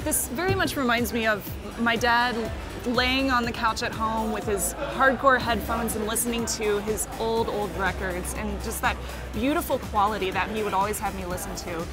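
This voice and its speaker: A woman's voice: a little high, fairly even intonation, moderate pace. A woman presenter chatting casually and with enthusiasm as she interviews someone at a trade show booth. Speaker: presenter interviewing a product representative. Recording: music playing in the background. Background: music.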